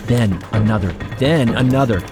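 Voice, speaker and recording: A man's voice: mid-range voice, moderate pace, lightly acted. A man playing an uneasy paranormal investigator, narrating his story confidingly in an audio drama. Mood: urgent. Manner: confiding, suspenseful. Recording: faint music under narration.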